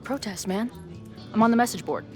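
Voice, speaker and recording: A woman's voice: fairly high pitch, lively intonation, a little fast, lightly acted. A woman playing a wry, glib young amateur sleuth in a video game, naturalistic in delivery. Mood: bluffing. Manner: sardonic, glib, quippy. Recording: music under the voice.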